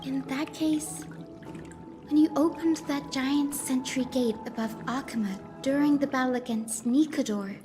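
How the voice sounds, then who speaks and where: A polite young lady from a video game. Her voice is high and gentle, with a tentative, deferential way of putting things. Music runs clearly underneath. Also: a little slow. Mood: hesitant, concerned.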